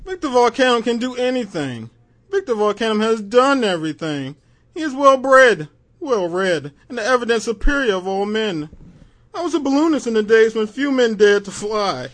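Man putting on a high-voiced, pompous comic-book villain. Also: big pitch swings, moderate pace, fairly bright tone, full character voice. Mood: grandiose boasting. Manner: boastful, theatrical declaiming. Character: pompous, grandiose immortal male comic-book villain bent on conquest. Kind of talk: amateur dramatic reading.

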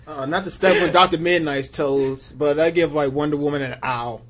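A man's voice: a little high, moderate pace, fairly bright tone. A man speaking casually as a panelist on a podcast. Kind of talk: casual banter.

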